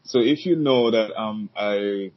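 A low, husky-voiced man chats loosely as a podcast guest, circling his point with fillers and restarts. The sound is dull and lo-fi. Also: slow, no echo. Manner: easygoing, rambling with restarts and fillers. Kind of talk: casual podcast chat.

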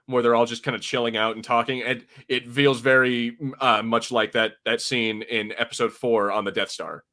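A man's voice: mid-range voice, little pitch movement, normal speed, bright, forceful tone. An American man co-hosting a podcast, chatting casually in a rambling, informal way about something he follows as a fan. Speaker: podcast co-host reviewing a TV episode. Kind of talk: casual chat, fan commentary.

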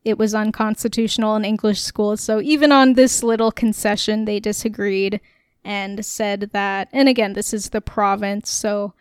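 A woman's voice: mid-range voice, little pitch movement, normal speed, clear, resonant tone, no echo. A woman co-hosting a casual political podcast, explaining at length with a wry, sarcastic edge and frequent laughs. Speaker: podcast co-host.

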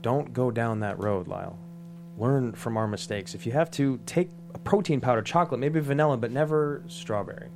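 A man's voice: mid-range voice, moderate pace, gravelly, a little mellow, faint music behind, lightly acted. Blunt, weary gym owner played by a man. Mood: earnest warning. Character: weary, earnest former steroid-using male gym owner. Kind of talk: comedic script table read.

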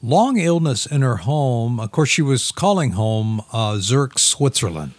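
Animated, agreeable man in casual radio chat. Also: medium pitch, a little slow, dry recording. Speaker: co-host and quiz contestant.

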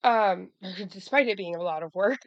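Woman, hoarse from a cold, casual podcast chat, chatty, dead dry sound. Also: mid-range voice, normal speed, no room sound, lo-fi sound. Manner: chatty, many fillers and restarts. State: hoarse from a cold, losing her voice. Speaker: podcast co-host.

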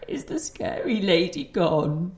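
A woman's voice: lowish voice, animated, slow, darkish tone. An actress playing an elderly grandmother who is confused and has slipped into childlike, plaintive talk. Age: older. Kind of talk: screen drama acting.

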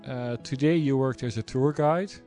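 Male podcast host, mid-pitched voice, relaxed, conversational questioning. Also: normal speed, soft background music. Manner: relaxed, asks follow-up questions with restarts. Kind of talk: conversational interview.

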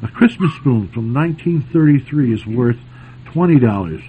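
A man's voice: mid-range voice, animated, normal speed. A man hosting a radio show, chatting casually and jokingly about old-time radio. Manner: teasing, joking. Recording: noisy phone-like lo-fi radio sound.

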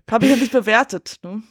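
A woman's voice: on the high side, creaky, husky, dry recording. Chatty woman in a dry recording. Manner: colloquial, chatty, opinionated. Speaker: podcast guest. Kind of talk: casual film chat.

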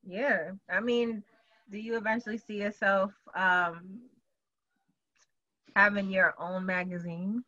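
Bright-voiced woman interviewer. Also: mid-range voice, steady tone, slow, long pauses, muffled recording. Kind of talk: casual interview chat.

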